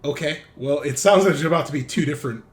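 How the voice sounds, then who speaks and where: A man's voice: a little high, fast talker. A man running a tabletop game as game master, chatting casually and often repeating phrases as he thinks aloud. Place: voice call on headset. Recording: clear background noise.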